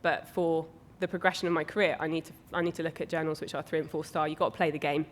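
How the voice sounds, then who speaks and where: Low-voiced woman answering on a panel. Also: steady tone, quick pace, a little hiss or hum. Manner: candid, with hesitant "um"s. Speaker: marketing lecturer on a panel.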